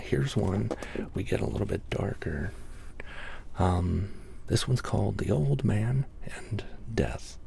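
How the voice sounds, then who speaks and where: A man reading bedtime stories in soft-spoken ASMR style, slow and breathy, with wry casual asides. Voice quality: deep, soft, breathy near-whisper. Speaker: ASMR reader.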